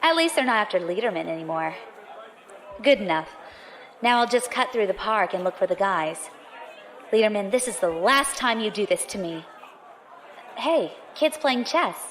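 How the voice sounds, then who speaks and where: An actress playing a brash young space pirate, quick, sarcastic and observant. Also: female voice, medium pitch, expressive, fairly bright tone, a faint crowd, a little hiss or hum, lightly acted. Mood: cocky. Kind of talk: audio drama acting.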